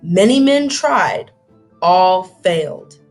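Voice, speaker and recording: A woman's voice: fairly low pitch, lively intonation, slow pace, soft background music. A woman voicing a stern, commanding king and father in a storybook, proclaiming imperiously.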